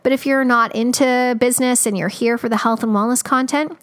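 A woman's voice: a little high, fairly even intonation, a little fast. A woman hosting a podcast, her voice a little scratchy today, talking in an upbeat, chatty way with many fillers. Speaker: podcast host. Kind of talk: casual podcast intro and interview.

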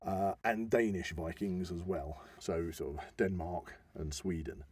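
A man hosting an informal discussion, explaining with halting enthusiasm and emphatic stresses. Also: sits low, darkish tone, slightly rough. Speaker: podcast host.

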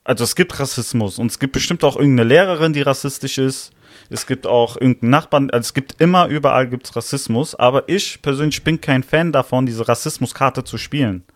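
Young man, podcast co-host, slangy, argumentative, mid-pitched, dry close mic. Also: a little fast, no echo. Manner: slangy, argumentative, frequent "weißt du, was ich meine". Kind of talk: casual podcast debate.

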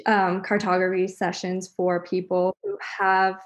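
A woman's voice: lowish voice, level tone, measured pace. A woman from Canada, an astrologer guest in a casual podcast chat, speaking upbeat with many fillers and laughing as she talks.

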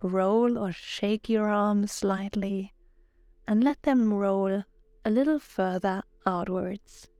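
A woman narrating a guided relaxation meditation in a calm, soothing, measured way, as a yoga nidra guide. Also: mid-range voice, clean tone, no echo, studio quality. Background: background music.

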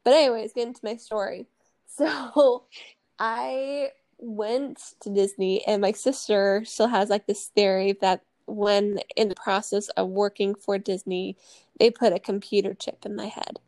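A woman's voice: medium pitch, fairly even intonation, a little slow, a little mellow, dry recording. A woman in her early thirties co-hosting a casual personal chat, talking in a chatty, rambling way with plenty of "like". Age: early 30s. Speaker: podcast co-host.

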